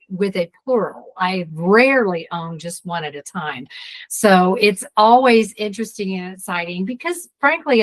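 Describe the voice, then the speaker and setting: A middle-aged woman, an executive trainer, talking as an interview guest, lively and quick to laugh. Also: mid-range voice. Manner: lively, laughs often, self-deprecating. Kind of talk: casual interview chat.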